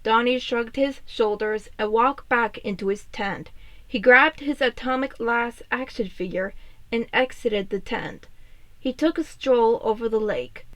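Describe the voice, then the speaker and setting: Woman reading fan fiction out loud, kinda high voice, storytelling narration.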